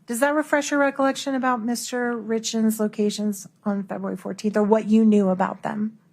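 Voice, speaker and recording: A woman's voice: fairly high pitch, steady tone, fairly quick, darkish tone. A woman lawyer questioning a witness in a courtroom, formal, pressing and insistent. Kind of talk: courtroom cross-examination.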